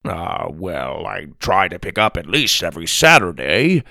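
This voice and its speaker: A man's voice: sits low, big pitch swings, measured pace, creaky, sharp tone, no echo, clean studio sound, pitched below the performer's own voice, exaggerated voice. A man voicing a huffy, defensive old grandfather who grumbles and gets flustered in a storybook. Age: older.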